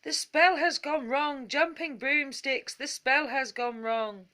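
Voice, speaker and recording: A woman's voice: sits high, takes it slowly, sharp tone, pitched above the performer's own voice, full character voice. A woman voicing a furious, grumpy old male wizard in a storybook, roaring and scolding.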